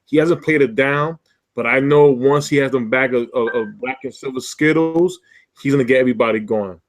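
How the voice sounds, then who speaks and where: A man's voice: mid-range voice, a little fast. A man co-hosting a sports talk show, joking and stammering through casual banter. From half Spanish, Puerto Rican. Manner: animated, stammering, jokey. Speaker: podcast co-host.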